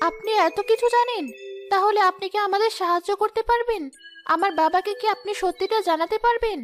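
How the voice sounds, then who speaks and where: Young cartoon princess, played by a woman: very high voice, gentle, earnest, polite, grateful. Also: fairly quick, faint music behind. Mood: earnest, curious. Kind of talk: animated fairy-tale dialogue.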